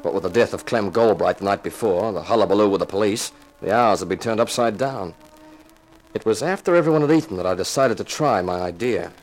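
A man's voice: lowish voice, brisk, soft background music, lightly acted, poor audio. An actor playing a tough, wisecracking insurance investigator who narrates his own case in a 1950s radio crime drama, with a sardonic, commanding manner. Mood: tense, wry. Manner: hard-boiled, sardonic, commanding.

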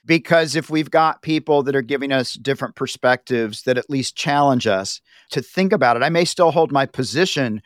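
A middle-aged man hosts a podcast in a relaxed, conversational way, laughing easily and slipping into quoted voices. His mid-range voice sits close to the mic in a dry, dead room. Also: mid-range voice, moderate pace, hardly any pauses, dry recording. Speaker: podcast host. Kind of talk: podcast interview.

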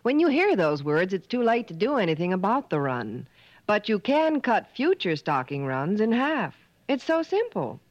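Lively female vintage radio advertiser. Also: mid-range voice, moderate pace, no echo. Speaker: commercial announcer. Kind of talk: 1950s radio advertisement read. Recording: dry, muffled old radio recording.

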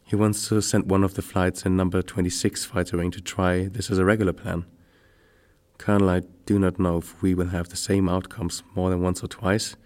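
A man's voice: low-pitched, little pitch movement, a little fast, a little mellow, lightly acted. An actor playing a wry young German fighter pilot, reading his wartime diary in a dry, sardonic way. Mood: regretful. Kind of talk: dramatised first-person diary reading.

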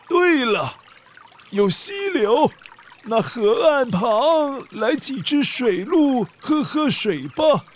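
A high, swooping fretful, excitable master of the house in a children's story. He drags out his words slowly, sighing and exclaiming. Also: slight background noise, heavily acted. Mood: musing, eager. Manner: dramatic, drawn-out, full of sighs and exclamations. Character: excitable, fretful wealthy master who paints animals. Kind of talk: children's audio story acting.

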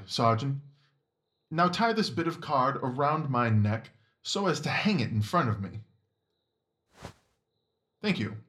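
A man playing a brisk, commanding, observant gentleman detective in an audio drama, with clipped, formal, instructive phrasing. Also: medium pitch. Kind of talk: audio drama acting of Victorian story.

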